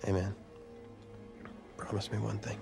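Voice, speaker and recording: An actor playing an ailing young football teammate in a video game drama, joking in a casual, deadpan way. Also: male voice, lowish voice, a little slow, music in the background. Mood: weak, sincere. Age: young adult. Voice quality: very creaky, gravelly.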